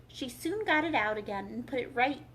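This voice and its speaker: A woman narrating a children's storybook aloud as a library storyteller, in an expressive read-aloud style. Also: on the high side, moderate pace, slight background noise, rough recording.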